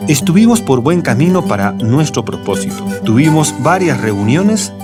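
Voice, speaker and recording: A man narrates from a script in documentary style. His pitch is average for a man, and clear guitar music plays behind him. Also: sing-song, normal speed. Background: plucked guitar music.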